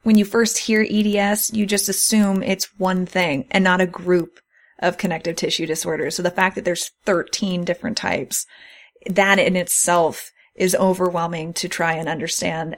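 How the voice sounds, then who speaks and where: A woman hosting a podcast in an earnest, encouraging, conversational way. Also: on the low side. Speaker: podcast host.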